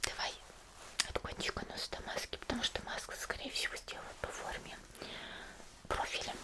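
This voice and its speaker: A woman whispers through an ASMR roleplay. She addresses the listener directly and softly, with a caring, gentle manner. Her delivery is unhurried and affectionate.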